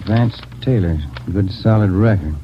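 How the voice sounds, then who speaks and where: An actor with an American accent playing a plain-spoken, dogged police detective in a dry, conversational 1940s radio style. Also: male voice, lowish voice, unhurried, natural voice. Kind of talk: 1940s radio drama acting. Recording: muffled old radio recording, faint noise.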